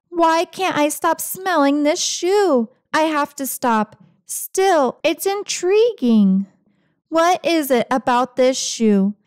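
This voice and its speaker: Woman as a dramatic grumpy cat, storybook read-aloud, slow-paced, dry close sound, sarcastic complaints. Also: high-pitched, no echo. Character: grumpy, dramatic, self-pitying pampered house cat. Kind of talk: picture-book read-aloud.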